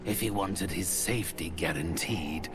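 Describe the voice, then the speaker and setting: An actor playing a haughty, cold, mercenary necromancer in a fantasy game, speaking slowly with theatrical condescension. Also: male voice, low-pitched, over music, natural voice. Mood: cold, businesslike. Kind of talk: cinematic fantasy game dialogue.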